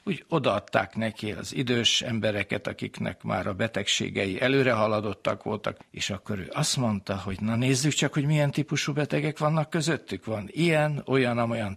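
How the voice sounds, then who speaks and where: A man's voice: medium pitch, vocal fry, fairly bright tone, slightly rough. An older man, an expert guest, explaining terms step by step in a didactic interview style. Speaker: expert guest, gerontology specialist.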